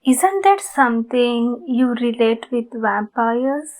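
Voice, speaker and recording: A woman playing a polite, pleading, youthful-looking vampire in an ASMR roleplay, speaking formally and courteously with many pauses. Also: a little high, lively intonation. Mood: earnest, persuading. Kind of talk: ASMR roleplay addressed to the listener.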